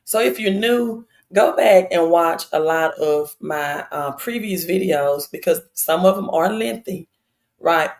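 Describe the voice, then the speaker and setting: A woman hosting a casual live stream, her voice raspy and hoarse, addressing viewers directly and repeating phrases for emphasis. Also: lowish voice, measured pace.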